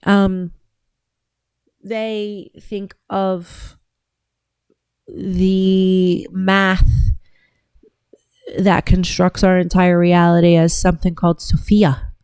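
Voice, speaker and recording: A woman's voice: lowish voice, little pitch movement, very slow, long pauses, darkish tone, smooth, dry recording. A woman from the US South hosting a casual livestream, talking in a chatty, rambling way that often trails off and restarts. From Georgia, US South. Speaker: livestream host.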